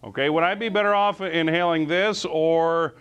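A man's voice: a little high, fairly even intonation, moderate pace, fairly bright tone, smooth, dull audio. A man in his thirties, a chemistry teacher, talks informally and jokingly through a live classroom demonstration. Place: school classroom with students. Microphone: lapel mic. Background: classroom chatter and laughter.

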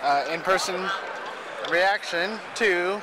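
A man in casual conversation at a busy expo, his voice a little high. Very loud crowd chatter and heavy noise surround him. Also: slow. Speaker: fan chatting at a trade show. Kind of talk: casual chat. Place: large indoor exhibition hall.

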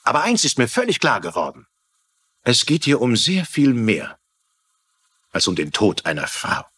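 A stern police commissioner in a crime drama. His voice is husky and varies a lot in pitch as he admonishes in formal, suspicious tones. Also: wildly swooping pitch, normal speed, fairly bright tone, heavily acted. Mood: stern, disappointed. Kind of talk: German-dubbed TV crime drama acting.

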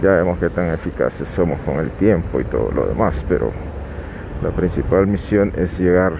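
A man calmly narrating a motorcycle vlog while riding, giving unhurried advice with some restarts. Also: deep voice, vocal fry. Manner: calm, advising, unhurried with restarts. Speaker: motovlogger. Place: riding a motorcycle on a highway outdoors. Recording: road and wind noise.

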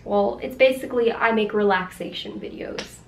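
A woman's voice: on the high side, normal speed, gravelly. A woman in her twenties chatting in a casual skit, explaining things in a hesitant, awkward way. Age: 20s. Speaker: ASMR video creator acting out a skit. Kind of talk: casual conversational comedy skit. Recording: echoey room with background noise.

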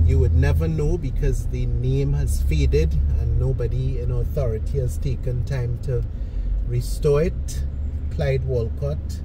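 A man hosting a driving video, giving unhurried, reflective tour-guide commentary from inside a moving car. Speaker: driving vlog host. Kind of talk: relaxed narration while driving. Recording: loud car engine and road rumble.

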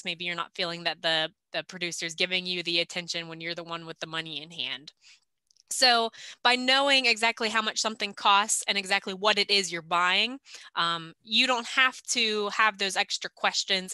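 A woman's voice: mid-range voice, bright, forceful tone, clean tone, no room sound. A young woman giving a practical, conversational training presentation over a video call, with frequent filler "um"s. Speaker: extension agent giving vendor training. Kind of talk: recorded online training presentation over slides. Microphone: video call webcam.

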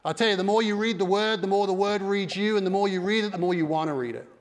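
A middle-aged pastor preaches with emphatic energy, his voice pitched high for a man. He speaks into a headset mic, and the big church hall rings with a long reverb behind him. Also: fast. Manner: emphatic preaching with broad hand gestures. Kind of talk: sermon. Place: large dark church stage.

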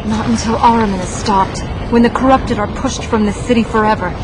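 An actress playing a determined, earnest young heroine in a video game. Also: female voice, fairly high pitch, fairly even intonation, a little fast, noisy background, close to an everyday voice. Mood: resolute. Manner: earnest, resolute. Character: determined, fiery young priestess-princess heroine.

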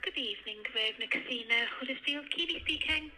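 A woman's voice: high voice, fast talker, very noisy. A woman working as a receptionist, speaking in a firm, matter-of-fact customer service manner over a phone call. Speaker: casino receptionist.

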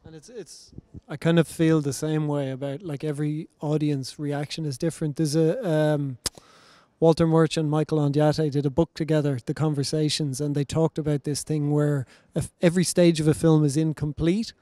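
A man's voice: on the high side, little pitch movement, moderate pace, dark tone. A man in his forties, a film director, talking thoughtfully with many fillers into a handheld mic in a festival lobby. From Ireland. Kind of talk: casual panel conversation. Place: large bright festival lobby.

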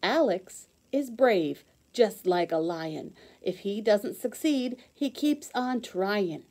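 Lady teacher doing a kids storybook read-aloud, soft mellow voice, really slow and sing-song. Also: medium pitch. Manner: expressive, sing-song rhymed reading with clear emphasis.

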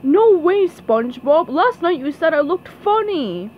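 A woman acts out an indignant male starfish in a cartoon story. He is blunt and stubborn, with a high, lively voice. Faint dullness and noise colour the recording. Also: unhurried, dull audio, full character voice.

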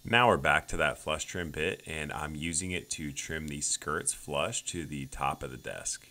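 A man's voice: rumbling low, unhurried, bright, forceful tone. A man in his thirties narrating his woodworking build in a plain, step-by-step voice-over. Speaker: woodworking channel host.

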